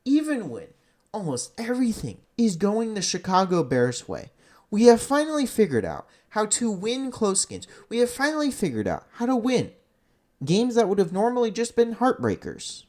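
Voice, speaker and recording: Exasperated sports fan with wildly swinging pitch. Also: huge pitch swings, moderate pace, darkish tone. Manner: exasperated, emphatic, profane. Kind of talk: unscripted opinionated sports rant.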